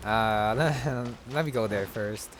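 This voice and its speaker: A man giving casual commentary as he plays a game, musing aloud in a halting way. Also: on the low side, slight background noise. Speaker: gamer commenting on a playthrough.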